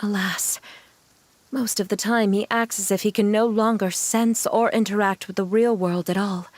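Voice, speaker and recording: A woman playing a gentle, sorrowful mother in a video game, speaking in an earnest, measured way. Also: medium pitch, steady tone, lightly acted. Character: sorrowful, gentle mother devoted to her ailing son.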